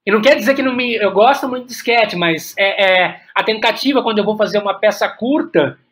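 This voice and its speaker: A man's voice: high voice, fairly quick, fairly bright tone. A man with a Brazilian accent talking reflectively as an interview guest, repeating words as he thinks aloud. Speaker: guest playwright.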